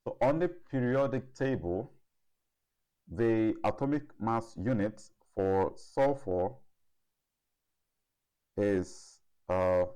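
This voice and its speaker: A man with a low-pitched voice works through a lesson as a tutor. He goes deliberately, step by step, and repeats figures as he calculates. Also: warm tone, clear, resonant tone. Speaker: chemistry tutor. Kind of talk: step-by-step worked-problem lesson.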